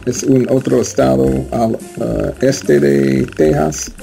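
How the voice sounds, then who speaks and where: Halting American man speaking learner Spanish. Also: medium pitch, vocal fry, mellow, faint music behind. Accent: American English. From United States. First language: English. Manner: halting, hesitant, with many fillers and restarts. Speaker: interview guest, Spanish learner. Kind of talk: casual interview chat.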